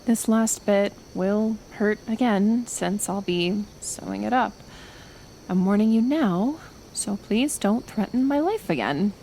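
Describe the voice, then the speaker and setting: A woman acting a kind but stubborn reclusive healer in an ASMR roleplay, gentle yet firm, unhurried, with sighs and pauses. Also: mid-range voice, a little hiss or hum, rough recording. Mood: calm, firm.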